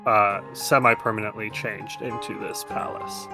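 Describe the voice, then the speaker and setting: A man's voice: on the low side, steady tone, normal speed, vocal fry, music in the background. A man improvising casually as game master of a tabletop game, bantering with players.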